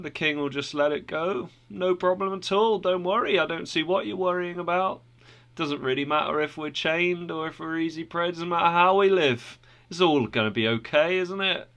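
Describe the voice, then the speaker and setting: A man's voice: fairly high pitch, pushed and bright, pitched above the performer's own voice. A man voicing Presumption, a smug overconfident male figure who brushes off every worry in a breezy, raised voice.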